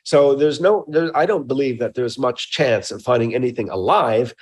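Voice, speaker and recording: A man being interviewed as a scientist, explaining his research emphatically and haltingly, often repeating words. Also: medium pitch, darkish tone, slightly rough.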